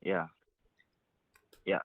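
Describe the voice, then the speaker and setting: A nineteen-year-old man from Canada calling in to a show, talking hesitantly and haltingly. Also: no room sound. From Canada, Montreal. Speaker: caller. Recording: dry, tinny phone line.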